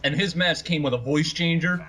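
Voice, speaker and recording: A man's voice: fairly high pitch, moderate pace, sharp tone. A man who acted in the film, talking casually on a cast commentary.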